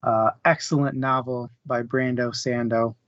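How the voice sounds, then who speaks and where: A man's voice: medium pitch, little pitch movement, a little slow, a bit bright. A man co-hosting a book club show, chatting casually about reading. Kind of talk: casual book discussion chat. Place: live online stream. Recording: dull, lo-fi, dry audio.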